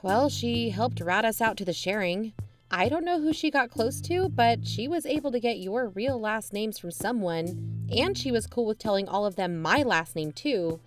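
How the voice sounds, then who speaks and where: A woman's voice: fairly high pitch, expressive, normal speed, music in the background, lightly acted. A woman playing a pragmatic, guarded amateur investigator in naturalistic audio drama dialogue. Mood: determined.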